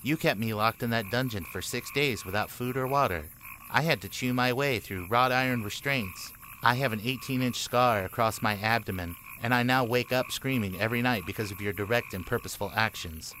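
A man's voice: mid-range voice, brisk, bright, forceful tone, slight background noise, natural voice, poor audio. An actor playing a nervous, aggrieved boy in a comic radio play, speaking with earnest exasperation. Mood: indignant.

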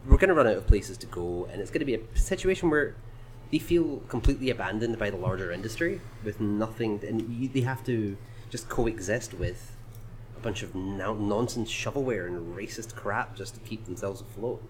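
Low-voiced man, dry and rambling. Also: normal speed, a little hiss or hum, poor audio. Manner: dry, rambling, with deadpan riffs. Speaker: podcast co-host. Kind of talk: casual games chat.

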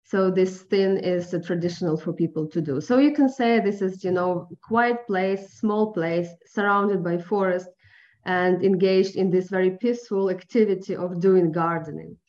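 Soft-spoken Ukrainian woman on a dull line. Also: on the low side, normal speed. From eastern Ukraine. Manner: careful, searching for words with many fillers. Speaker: journalist guest. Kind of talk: interview on a phone line. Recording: dull phone-line audio.

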